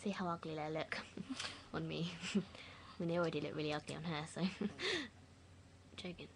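A teenage girl chatting on a laptop webcam in her bedroom with a friend, giggly, with loud outbursts and a put-on lisp. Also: deep voice, fairly even intonation, normal speed, creaky, slight background noise. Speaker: vlogger. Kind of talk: casual webcam chat with a friend. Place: bedroom at night.

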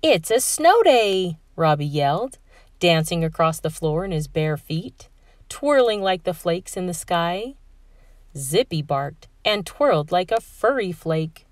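Slow, low-pitched woman reading a storybook. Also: no room sound, clean studio sound. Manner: measured, expressive. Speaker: storybook reader. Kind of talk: children's read-aloud narration.

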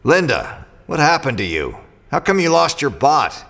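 A sardonic hired gun in a sci-fi audio drama. His voice is gravelly and hard-edged, swinging in pitch as he delivers dry, cynical lines. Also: very animated, moderate pace, fairly bright tone, full character voice. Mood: dry, questioning. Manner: dry, sarcastic. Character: cynical, sardonic male mercenary pilot.

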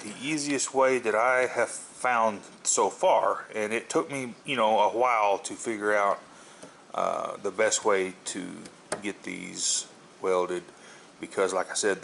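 A middle-aged man giving a casual hands-on tutorial, explaining haltingly and thinking aloud as he works indoors at a table. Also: lowish voice. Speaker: host of a tool repair video channel. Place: indoors at a wooden table at home. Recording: steady background noise, home video.

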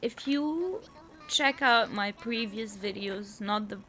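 Chatty Egyptian woman gaming, slow-paced. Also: mid-range voice, pushed and bright, soft background music. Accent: Egyptian Arabic. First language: Arabic. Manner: chatty, spontaneous, giggly. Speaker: gaming YouTuber. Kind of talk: casual gameplay commentary. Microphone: headset.

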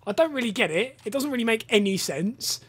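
A young man with a hoarse, partly lost voice, chatting casually over gameplay on a headset and swearing at the game. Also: very high voice, expressive, brisk, a bit bright. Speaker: gaming YouTuber and rugby coach. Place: home room, indoors.

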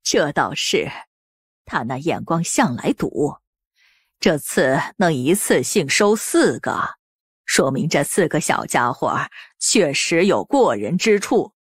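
A woman voicing a genial, admiring elderly noblewoman in an audiobook drama, with a husky, drawling delivery. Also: low voice, sing-song, slow, no echo, studio quality, heavily acted.

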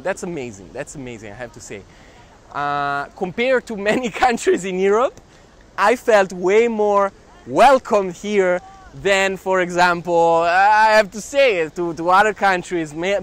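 A man in his twenties with an Italian accent, talking to camera as a travel filmmaker in an animated, exclamatory way, often repeating phrases. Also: fairly high pitch. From Italian family, raised near Milan. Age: 20s. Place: outdoors in city squares and streets. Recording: clear urban street noise.

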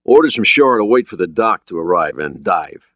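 A male narrator acts out a self-assured American submarine commander in a thriller audiobook. He speaks in brisk, plain military style with an animated pitch, close and dry in a slightly dull recording. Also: no room sound. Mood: brisk, confident.

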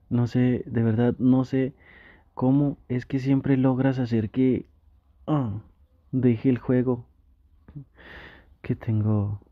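Mexican boyfriend role for a male actor, ASMR roleplay: flustered, tempted gamer, coaxing, unhurried delivery with vocal fry, dry close mic. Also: mid-range voice, no echo, muffled recording. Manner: halting, coaxing, conversational.

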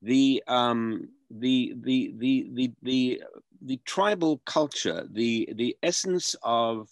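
A man in his seventies from South Africa, a guest on a video call interview, speaking earnestly and halting over repeated starts. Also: mid-range voice, dry recording. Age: 70s. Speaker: guest filmmaker. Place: home room.

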